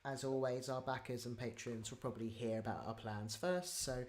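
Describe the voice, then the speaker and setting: A man's voice: medium pitch, fairly even intonation, normal speed, slight background noise. A man hosting a livestream, chatting casually with the audience. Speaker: livestream host. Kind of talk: casual livestream sign-off chat.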